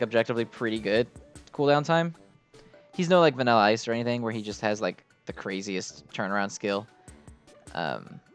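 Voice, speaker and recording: A man's voice: mid-range voice, a bit bright, smooth. A man giving casual, unscripted gameplay commentary in a rambling, informal, self-deprecating way. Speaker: gaming video host.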